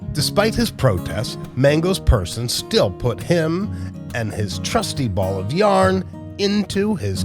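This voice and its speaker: Slow-paced male storybook narrator over guitar music. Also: medium pitch. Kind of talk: children's read-aloud narration. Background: music with plucked guitar.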